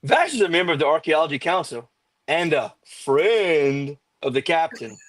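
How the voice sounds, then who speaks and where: A woman's voice: deep voice, big pitch swings, normal speed, no room sound. A woman playing a polite, slightly jealous female starship doctor in a live script table read. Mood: polite, guarded.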